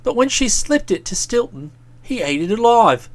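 A man voicing an aggrieved, aloof young English gentleman in a storybook, speaking with cold, pompous sarcasm. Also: high-pitched, big pitch swings, normal speed. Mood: musing, drawling.

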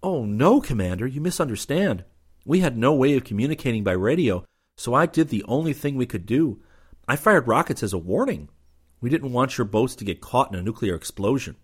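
A man's voice: mid-range voice, very animated, brisk, pitched above the performer's own voice. Brief: male narrator plays a clever young officer bluffing politely; light, earnest delivery. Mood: feigned innocence. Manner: innocent-sounding, smooth excuses. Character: young male lieutenant, quick-witted and bluffing.

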